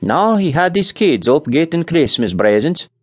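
A man voicing a slow, solemn Scandinavian immigrant farmer who speaks halting broken English with a Scandinavian accent. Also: on the high side, big pitch swings, slightly rough, pitched above the performer's own voice. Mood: plain, solemn.

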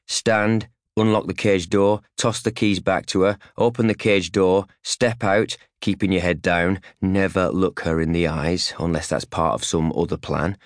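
Male narrator for literary fiction audiobook. Low, deep register, clipped short phrases, very dry recording. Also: no echo. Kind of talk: scripted first-hand fiction narration in second person. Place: recording studio.

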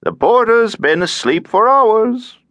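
A man's voice: high-pitched, animated, slow pace, fairly bright tone, dry recording, pitched above the performer's own voice, exaggerated voice. A man voicing a cheerful, tiresome, garrulous elderly Russian émigré in an audiobook, droning on with over-familiar chattiness. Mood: musing, insistent. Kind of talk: audiobook character acting.

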